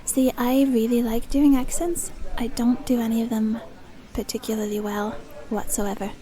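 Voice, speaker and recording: A woman's voice: fairly high pitch, flat delivery, normal speed, dark tone, poor audio. A woman from Dublin, chatting in a rambling, self-deprecating ASMR style, often dropping into a whisper. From Dublin, Ireland. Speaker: ASMR video creator. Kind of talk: ASMR, rambly casual chat.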